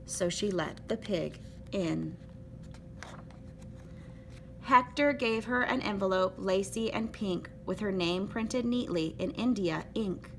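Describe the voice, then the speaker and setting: A woman reading a children's picture book aloud with an expressive, rhythmic delivery. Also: mid-range voice, slight background noise. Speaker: storybook reader.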